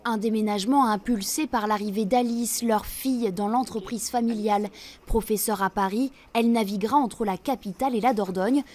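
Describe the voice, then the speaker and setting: A woman reporter reading a scripted news voice-over in a measured, even way. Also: mid-range voice.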